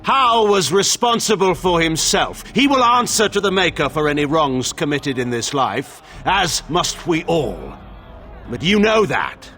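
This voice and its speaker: An actor playing a proud, aging warlord regent in a fantasy game, declamatory and scornful. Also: male voice, a little high, very animated, measured pace, sharp tone, a little hiss or hum, full character voice. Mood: cold, defensive. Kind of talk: theatrical fantasy game acting.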